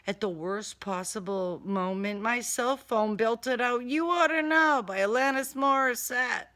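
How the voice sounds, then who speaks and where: A woman's voice: fairly high pitch, a little slow, fairly bright tone. A woman reading a first-person crime thriller audiobook in a dramatic, wry manner. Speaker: author reading own novel excerpt.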